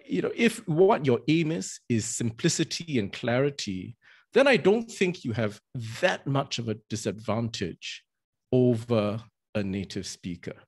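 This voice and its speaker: A man's voice: medium pitch, lively intonation, measured pace, darkish tone. A middle-aged man from Singapore, a barrister and arbitrator, gives an earnest webinar talk with frequent restarts and fillers. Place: indoors with virtual green backdrop. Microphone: video call, webcam.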